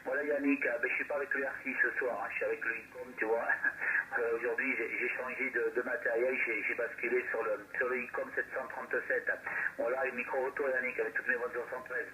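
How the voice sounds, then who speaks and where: A man's voice: mid-range voice, flat delivery, fast talker, sharp tone. A man chatting casually as an amateur radio operator, heard through a radio transmission. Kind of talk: casual radio contact chat. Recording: noisy two-way radio transmission.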